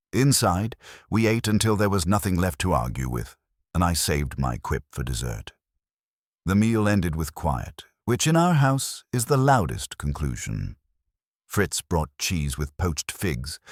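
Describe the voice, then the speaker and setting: Very deep-voiced man narrating a detective story. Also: no echo, clean studio sound. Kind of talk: scripted audiobook narration, wry first-person detective story.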